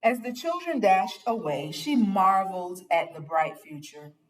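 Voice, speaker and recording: A woman reads a children's picture book aloud in an expressive storyteller's style. Her pitch moves widely while her pace stays slow and deliberate. She sounds set back a little from the microphone. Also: distant mic, rough recording.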